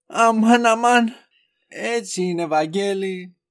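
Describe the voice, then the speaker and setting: A man's voice: high-pitched, slight background noise. A man playing an exasperated but caring and protective young man in an amateur audio drama, grumbling earnestly. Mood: frantic self-talk.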